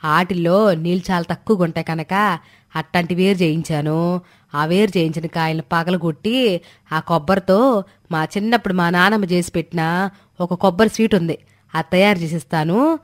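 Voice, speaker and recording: A woman's voice: fairly low pitch, moderate pace, close to an everyday voice. A woman playing an older, cautious village mother-in-law in a storybook drama, with homely colloquial speech. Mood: homely, practical. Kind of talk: dramatized Telugu moral story dialogue.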